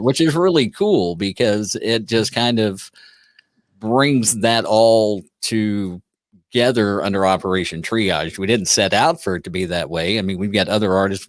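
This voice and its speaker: A man's voice: fairly low pitch, no room sound. A man hosting an interview show, chatting casually with lots of fillers and restarts. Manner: rambling, many fillers and restarts. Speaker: show host.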